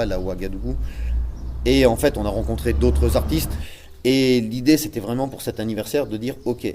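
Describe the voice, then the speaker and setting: A man's voice: on the low side, dark tone, a little hiss or hum. A man who runs a theatre company, speaking in an interview, thoughtful and hesitant, often repeating words as he searches. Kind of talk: reflective interview talk.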